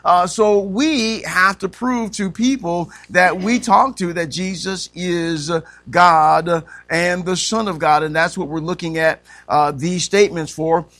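A man's voice: high-pitched, a little slow, hardly any pauses, fairly bright tone. A man, preaching a sermon as pastor, punctuating points with "amen" and rising emphatic questions. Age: 50s.

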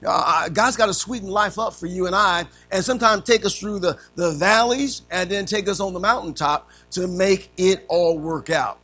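Guy teaching sunday school, fairly high voice, bit raspy, amen every other line, faint music under it. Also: moderate pace, few pauses, sharp tone. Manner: punctuates with frequent amens, calls to the class. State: slightly raspy from allergies. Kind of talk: folksy church teaching.

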